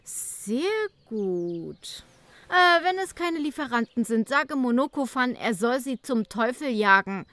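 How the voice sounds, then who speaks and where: A woman's voice: a little high, animated, takes it slowly, fairly bright tone, no echo, pitched above the performer's own voice. A woman voicing a haughty, irritable, imperious countess in an audio play. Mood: haughty, sceptical. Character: haughty, dismissive countess absorbed in her painting. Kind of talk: audio drama character reading.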